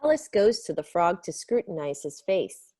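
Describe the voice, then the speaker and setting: A woman's voice: on the low side, sing-song, normal speed, dark tone. A woman narrator reading scripted stage directions for a children's story in a dramatic reading.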